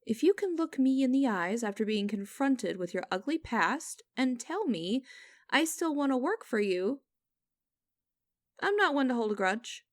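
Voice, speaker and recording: A woman playing a cold, condescending female boss in an ASMR audio roleplay, speaking to the listener in a deliberate, commanding, mocking way. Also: on the high side, sing-song, no room sound, clean studio sound. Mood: cold, controlled. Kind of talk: ASMR roleplay acting, direct address to listener.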